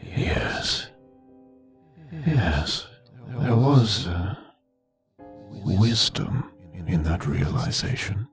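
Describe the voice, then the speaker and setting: Guy doing an old power hungry spirit in someone's head, deep, deliberate, lots of swoop, fantasy audiobook. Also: lively intonation, slightly rough, soft background music, heavily acted. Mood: measured approval. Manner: slow, deliberate, urging. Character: ancient scheming power-hungry entity inside a man's mind.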